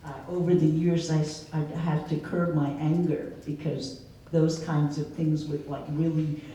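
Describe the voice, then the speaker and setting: Mellow woman speaker in an echoey hall. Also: deep voice, flat delivery, unhurried. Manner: reflective, conversational, storytelling with laughs. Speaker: keynote speaker. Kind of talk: answering an audience question. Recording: echoey hall from far off, faint noise.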